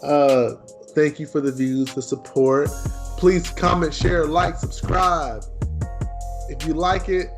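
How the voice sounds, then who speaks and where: A man's voice: a little high, steady tone, slow, soft background music. A man in his twenties who grew up in Texas, co-hosting a casual podcast chat in a laid-back way, repeating himself and laughing easily. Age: 20s. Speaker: podcast co-host.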